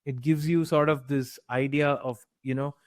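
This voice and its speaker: Young guy w indian accent chatting as a guest, lots of "you know" and "uh", mid pitch, dry close mic. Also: steady tone, moderate pace, dry recording. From grew up in Nigeria. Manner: talkative, many fillers and restarts. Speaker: guest entrepreneur. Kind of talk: conversational interview.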